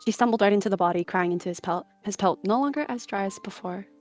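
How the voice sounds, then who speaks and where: A woman's voice: medium pitch, quick pace, soft background music. A woman giving dramatic third-person narration of her character in a story roleplay.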